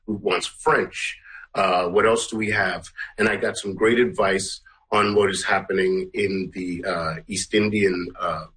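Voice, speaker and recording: A man's voice: sits low, steady tone, unhurried, a bit bright. A middle-aged man, a musician answering interview questions conversationally, feeling for his words and repeating phrases for emphasis. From Canada, Guyana-born. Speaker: musician and song producer, interview guest.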